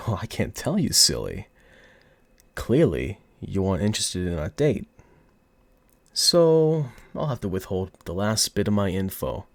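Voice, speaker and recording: Casting: male actor as flirtatious, arrogant mob boss for ASMR roleplay; deep register, highly expressive, taunting, affected gangster accent. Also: gravelly, no room sound, heavily acted. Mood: coy, playful. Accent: put-on mafia gangster accent. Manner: teasing, taunting, drawn-out seductive delivery. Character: cocky, flirty, smug male mafia boss. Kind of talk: ASMR audio roleplay acting.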